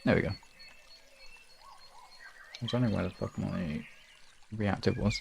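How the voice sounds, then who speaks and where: A man's voice: on the low side, steady tone, unhurried, vocal fry, background noise. A man chatting casually as a live streamer, rambling and correcting himself with mild swearing. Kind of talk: casual livestream chat.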